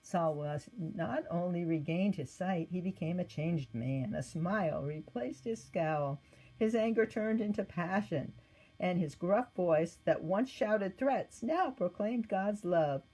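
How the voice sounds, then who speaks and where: Female storybook narrator: lowish voice, soft-edged and a little husky, expressive scripted read. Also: slow pace, rough recording. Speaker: storybook reader.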